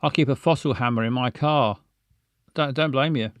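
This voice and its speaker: A man's voice: medium pitch, normal speed, no echo, studio quality. A man, casually chatting with stream viewers in a rambling, stammering, self-mocking way. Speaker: livestream host.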